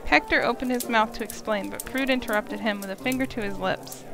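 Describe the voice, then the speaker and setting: High-voiced woman narrating fantasy. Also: fairly quick, sharp tone. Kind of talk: scripted fantasy story narration. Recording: voice under prominent music.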